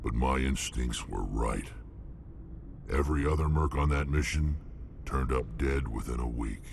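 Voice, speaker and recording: An actor playing a gruff, hulking alien mercenary in a video game, blunt and menacing, with a gravelly rumble. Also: male voice, a little slow, heavily acted. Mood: blunt, wary. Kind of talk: video game character dialogue.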